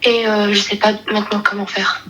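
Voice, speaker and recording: A woman's voice: medium pitch, little pitch movement, a little fast, a bit bright. A woman in her twenties calling in for advice, telling a personal story hesitantly and searching for her words. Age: 20s. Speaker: caller seeking advice. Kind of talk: personal story told in casual conversation.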